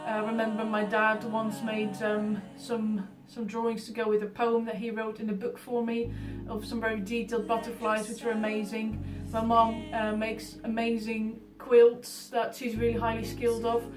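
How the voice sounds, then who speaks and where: Echoey, higher-voiced Dutch woman with music behind. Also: monotone, normal speed, few pauses. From Netherlands. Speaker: artist being profiled. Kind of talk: informal talking about own life and art. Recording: roomy echo, distant mic, music behind.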